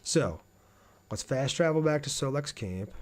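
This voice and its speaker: A man's voice: medium pitch, expressive, measured pace, a little mellow. Casual man streaming a video game. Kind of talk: casual livestream gameplay commentary with chat replies.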